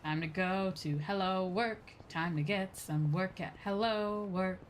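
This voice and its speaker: Chatty, expressive woman streaming a game. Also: medium pitch, unhurried, slight background noise. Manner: chatty, expressive, casual swearing. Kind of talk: casual game livestream commentary, reading on-screen dialogue aloud. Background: game music.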